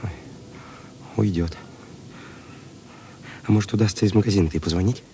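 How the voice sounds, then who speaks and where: An actor playing a hungry, suspicious petty pickpocket in a radio play, speaking in short colloquial replies. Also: male voice, on the low side, moderate pace, dark tone, natural voice. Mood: anxious. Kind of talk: Russian radio play acting. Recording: clear ambient noise behind.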